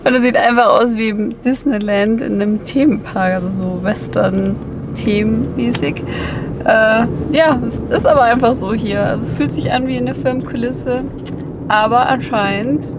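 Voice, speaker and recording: A woman's voice: on the high side, background noise. A young woman chatting casually to a handheld camera in a car and at an outdoor overlook for a travel vlog. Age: young adult. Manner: lively and chatty, quick laughs. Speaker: travel vlogger. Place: inside a car, then outdoors at a canyon overlook. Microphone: handheld camera at arm's length. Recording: music behind the voice.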